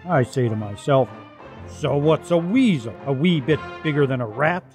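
A man voicing a boastful, grumbling rough tomcat who tells tales in blustering colloquial style for a radio story. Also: mid-range voice, lively intonation, normal speed, over music, natural voice. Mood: blustering, disgusted.

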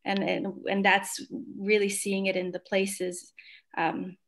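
A woman's voice: mid-range voice, completely flat delivery, slow pace. A woman in her thirties, born in Slovakia, speaking as a book author on a video call, thoughtful and full of fillers and restarts. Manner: thoughtful, self-correcting, many fillers.

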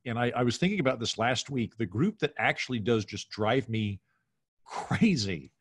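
A man's voice: medium pitch, animated, moderate pace, husky, no room sound. American man talking casually, dry close sound. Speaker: podcast guest, comedian. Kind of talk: casual opinionated conversation. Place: home room on a video call.

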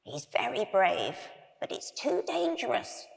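Storybook role: woman playing elderly toy car, male, croaky, protective, knowing. Reverberant hall. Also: mid-range voice, huge pitch swings, a little slow, full character voice. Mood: worried, knowing.

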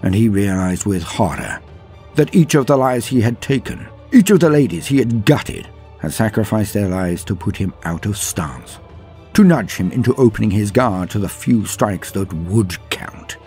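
A man narrating a scripted story in a dramatic, grave, measured manner with pauses for effect, his voice husky. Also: fairly low pitch, expressive, dark tone. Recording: music behind the voice. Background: background music.

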